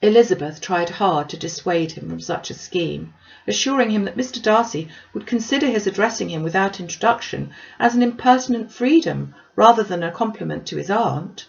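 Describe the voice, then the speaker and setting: A woman's voice: mid-range voice, animated, a little fast, vocal fry, muffled recording. A woman reading a classic novel aloud as scripted audiobook narration. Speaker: audiobook reader.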